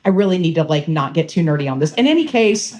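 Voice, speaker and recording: A middle-aged woman state representative with a low voice, speaking at a town hall in a library in a chatty, digressive, self-deprecating way. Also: very animated, fast, a little mellow. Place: public library meeting room.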